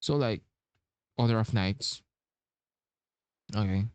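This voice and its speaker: Slow-talking casual male gamer. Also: on the low side, darkish tone, clean tone, no room sound. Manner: hesitant, offhand. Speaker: gamer playing through a story quest. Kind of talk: casual gaming commentary, reading dialogue aloud.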